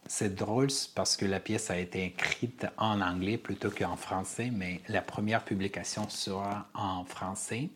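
A man from Armenia, a playwright and director, answering interview questions earnestly and pausing to find his words. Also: lowish voice, fairly even intonation, a little hiss or hum. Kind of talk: interview conversation.

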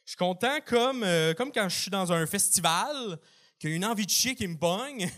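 A man's voice: sits high, expressive, normal speed, fairly bright tone. A Québécois French-accented man doing a self-mocking stand-up bit, loose and chuckling. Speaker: stand-up comedian. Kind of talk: stand-up then receiving feedback.

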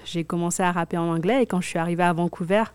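A young woman from Africa, a hip-hop artist, chatting backstage in an interview, relaxed, with plenty of fillers and restarts. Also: lowish voice, very fast, clear, resonant tone, no echo.

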